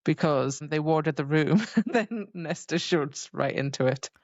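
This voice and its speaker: A woman's voice: sits low, unhurried, darkish tone, no echo. A woman co-hosting a podcast in casual chat about a book, on a slightly muffled recording. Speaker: podcast co-host. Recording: dull, muffled close recording.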